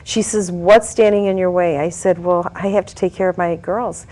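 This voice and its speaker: A woman's voice: lowish voice, steady tone, moderate pace, mellow. Chatty woman telling a story. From Italy. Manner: chatty, storytelling with frequent fillers. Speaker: guest. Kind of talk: casual talk show conversation.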